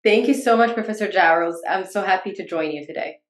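A woman's voice: mid-range voice, fairly quick. Ethiopian-born woman on a roomy video call. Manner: chatty, many ums and likes. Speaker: journalist guest. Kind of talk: casual interview answers. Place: home room with bookshelves.

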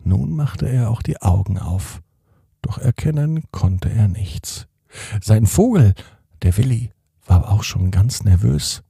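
A man hosting and narrating a children's bedtime story in an expressive manner, speaking directly to young listeners. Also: lowish voice, unhurried, creaky, husky, dry recording, studio quality. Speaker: podcast host and storyteller.

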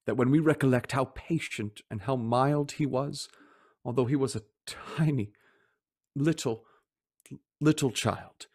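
An actor playing a gentle, grieving father of a poor Victorian family in a radio play, tender and halting. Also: medium pitch, breathy edge. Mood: earnest, warm.

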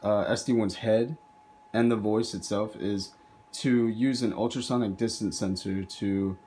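A man with a fairly low voice talks through a homemade project. He presents it casually as a video host, recorded indoors on a handheld camera. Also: steady tone, unhurried, warm tone, slight background noise. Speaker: video host, hobby robot builder. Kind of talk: casual narrated project demo.

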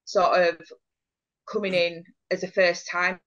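A woman's voice: on the low side, flat delivery, measured pace, a bit bright. A woman, chatting casually as a guest over a video call from a room at home. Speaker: guest actor. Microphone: laptop mic on a video call. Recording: dull lo-fi video-call audio.

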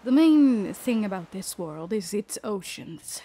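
A woman playing a wary, bookish young woman in an audio drama, musing to herself in a measured way. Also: medium pitch, sing-song, mellow. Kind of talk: fan audio drama monologue. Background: music.

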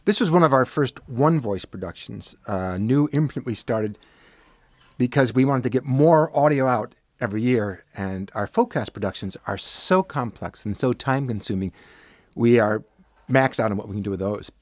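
A man's voice: medium pitch, normal speed, dry recording. Older man talking, dry studio recording. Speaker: audiobook director. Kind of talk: casual interview talk.

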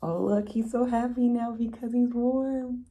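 A woman's voice: on the high side, steady tone, a little slow, warm tone. A young adult woman reading a picture book aloud to children, expressively, with chatty explanatory asides. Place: room at home, indoors.

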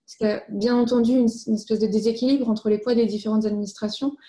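A woman presents findings formally. Her voice sits fairly high and is very soft-edged and mellow. She explains things methodically, point by point. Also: steady tone, quick pace, low-quality recording.

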